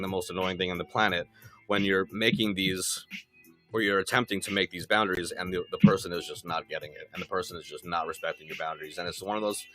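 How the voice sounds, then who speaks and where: A man's voice: deep voice, pushed and bright. A man of forty chatting casually on a podcast as co-host, emphatic and repetitive, with frequent swearing. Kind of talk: casual conversational podcast chat.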